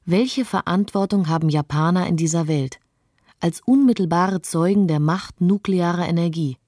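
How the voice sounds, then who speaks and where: A woman's voice: lowish voice, normal speed, dark tone, no echo, clean studio sound, natural voice. A woman voicing a philosophical, reflective witness in a scripted documentary radio play. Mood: contemplative.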